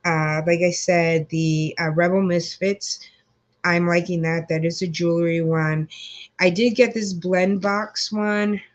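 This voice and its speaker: A woman's voice: fairly low pitch, little pitch movement, measured pace. A woman hosting a casual live chat, congested, rambling with many fillers as she greets viewers. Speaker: livestream host.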